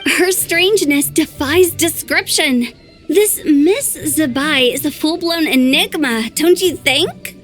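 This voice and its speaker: High, slow, sly trickster girl, teasing. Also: soft background music. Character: playful young woman, teasing and mischievous. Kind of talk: game voice acting.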